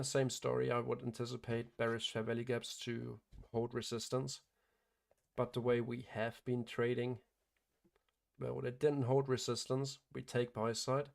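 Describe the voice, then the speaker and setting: A man running a live day-trading stream, giving matter-of-fact commentary, hoarse as he starts to lose his voice, and clearing his throat often. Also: medium pitch. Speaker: day trader hosting a livestream.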